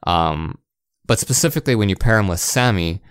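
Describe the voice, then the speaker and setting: A man's voice: lowish voice, animated, fairly quick, clear, resonant tone, dry recording, clean studio sound. A man co-hosting a podcast, chatting casually about video games. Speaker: podcast co-host. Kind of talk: casual video game discussion.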